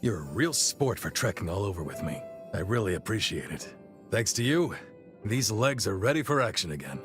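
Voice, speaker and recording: An actor playing an easygoing middle-aged warrior captain and encouraging mentor in a video game cutscene. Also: male voice, fairly low pitch, big pitch swings, normal speed, over music. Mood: encouraging, hopeful.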